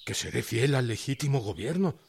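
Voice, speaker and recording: Nervous, jumpy Mexican town mayor. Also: male voice, mid-range voice, sing-song, brisk, vocal fry, slight background noise. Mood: cowed. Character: nervous, submissive male small-town mayor, eager to appease. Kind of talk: radio drama acting.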